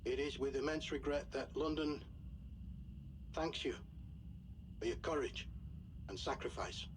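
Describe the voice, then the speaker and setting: An actor playing a grave, loyal wartime special operations officer in a game, speaking in a solemn, measured way. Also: male voice, medium pitch, background noise, lightly acted. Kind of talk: dramatic game cutscene acting and mission briefing.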